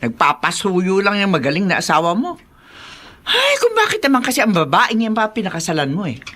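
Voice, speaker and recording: Sharp, domineering older mother-in-law. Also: mid-range voice, huge pitch swings, fairly quick. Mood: scornful, commanding. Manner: scolding, insistent, mocking. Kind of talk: Tagalog radio drama acting.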